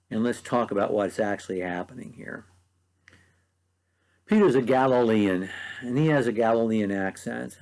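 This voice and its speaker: Gravelly preacher with an Ohio Valley accent. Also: male voice, on the low side, a little mellow. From mid-Ohio Valley, USA. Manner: conversational, earnest, with frequent ums. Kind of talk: short devotional Bible teaching.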